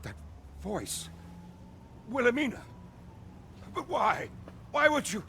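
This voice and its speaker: High, haughty, indignant nobleman. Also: huge pitch swings, takes it slowly, bright, forceful tone, a little hiss or hum, heavily acted. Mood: shocked, disbelieving. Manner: haughty, archaic, melodramatic. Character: arrogant, indignant male noble villain, cornered and bewildered. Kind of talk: fantasy video game voice acting.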